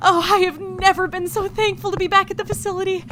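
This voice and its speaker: Woman acting high, expressive, flustered young agent. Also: fast talker. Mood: urgent relief. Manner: expressive, theatrical, stammering. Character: flustered, emotional young female agent, chatty and vulnerable. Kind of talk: audio drama acting.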